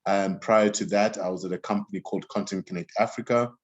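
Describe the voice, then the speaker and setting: A man's voice: fairly low pitch, fairly even intonation, quick pace. A man from Zimbabwe, a guest in his thirties, talking casually in an interview and often restarting his sentences with fillers. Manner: rambling, many restarts and fillers. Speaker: interview guest, music executive. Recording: dull lo-fi sound.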